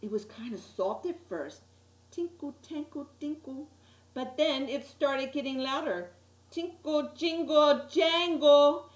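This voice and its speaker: A woman reading a children's picture book aloud with dramatic, deliberate emphasis on key words. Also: high voice. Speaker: storytime reader. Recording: roomy, echoey sound.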